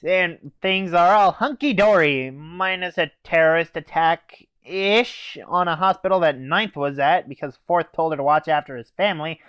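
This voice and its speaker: A woman's voice: fairly low pitch, a bit bright, clean tone, no echo. A young woman delivers a casual, comedic review. She takes her time, stumbling and hesitating as she goes, with a dry manner. The recording has very little room sound. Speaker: anime reviewer.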